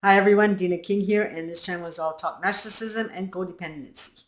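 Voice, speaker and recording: A woman's voice: lowish voice, a little fast. A woman hosting her own channel, congested from the flu, talking earnestly and directly to her viewers. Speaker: channel host. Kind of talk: explainer talk to viewers. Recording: echoey room.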